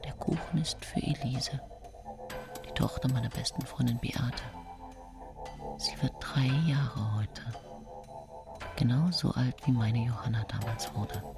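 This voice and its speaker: An actress playing an envious, brooding woman poisoner in a hushed, breathy radio drama inner monologue. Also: very deep voice, expressive, music in the background, exaggerated voice. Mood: quietly menacing. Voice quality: breathy, whispery. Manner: slow, hushed, intense. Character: envious, brooding woman poisoner sharing her secret thoughts.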